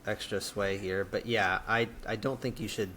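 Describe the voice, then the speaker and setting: A man's voice: fairly low pitch, steady tone, moderate pace, bright, forceful tone, slight background noise. A man chatting casually as a podcast co-host, easygoing and a little hesitant as he gets going. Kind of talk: casual chat.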